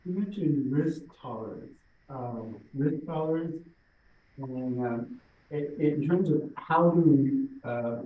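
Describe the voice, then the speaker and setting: Soft-spoken man, distant and echoey. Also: mid-range voice, a little hiss or hum. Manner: halting, searching for words. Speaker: board member. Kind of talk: public meeting question. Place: boardroom. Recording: distant, echoey, phone-like sound.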